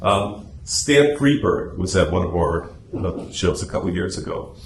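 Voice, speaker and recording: A man's voice: sits low, animated, normal speed. A man giving an informal club talk in a meeting room, explaining things through anecdotes. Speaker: guest speaker, radio drama society officer. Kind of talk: informal club presentation and discussion. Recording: echoey room, faint background noise.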